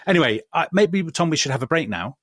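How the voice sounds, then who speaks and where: A man co-hosting a history podcast, talking in an emphatic, lively way with quick restarts and asides. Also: a little high, no echo, clean studio sound. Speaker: podcast co-host. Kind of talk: casual history podcast chat.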